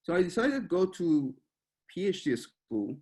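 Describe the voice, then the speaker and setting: Iranian-born man giving a relaxed webinar talk. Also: high-pitched, normal speed. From Iran. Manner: relaxed, searching for words, with small laughs. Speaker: machine learning researcher giving a webinar.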